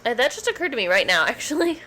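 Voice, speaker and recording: A woman co-hosting a podcast in casual chat, slightly raspy voice, chatty and laughing easily. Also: on the high side, big pitch swings, quick pace, sharp tone. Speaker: podcast co-host.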